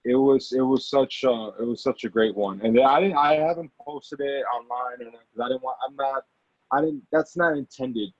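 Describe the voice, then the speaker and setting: A man speaking as an actor being interviewed, casual and halting, often repeating and rephrasing himself. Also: medium pitch, no echo. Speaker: actor and amateur producer, interview guest. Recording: dull, lo-fi audio.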